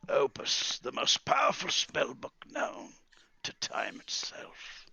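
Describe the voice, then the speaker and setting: Theatrical, high-swooping old wizard. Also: male voice, huge pitch swings, vocal fry, sharp tone, breathy edge, no echo, in a whisper, full character voice. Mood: yearning, grand. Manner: theatrical, drawn-out, swinging between cries and hisses. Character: old bearded wizard, grieving, brooding, quietly menacing.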